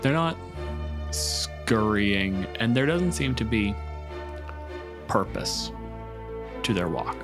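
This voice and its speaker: Low-voiced man running a tabletop game. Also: very animated, slow pace, long pauses, music in the background. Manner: profane, conversational. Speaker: game master. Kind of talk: casual tabletop game narration.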